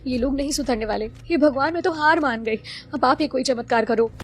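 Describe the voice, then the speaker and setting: A woman's voice: sits high, fairly quick, natural voice. A woman voicing an exasperated, scheming young daughter-in-law in a Hindi cartoon story, with dramatic complaining delivery.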